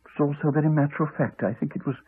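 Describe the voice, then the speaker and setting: An actor playing a haunted man in a 1980s radio drama, telling his story in a hesitant, halting, subdued way. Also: on the high side, fairly even intonation, slightly rough. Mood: haunted, tense. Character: Alex, haunted man haltingly recounting a horror. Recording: muffled phone-like archive radio recording.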